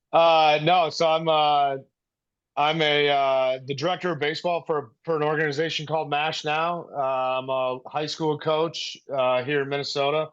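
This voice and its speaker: A man's voice: on the high side, fairly even intonation, a little slow, a bit bright, clean tone. Earnest American man on dull call audio. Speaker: high school baseball coach, former player. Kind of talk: casual podcast chat.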